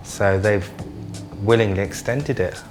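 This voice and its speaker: A man in his thirties with a very low voice gives a casual interview. He phrases things loosely and hesitantly, with music clearly behind him. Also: measured pace. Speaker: mental health service user interviewee.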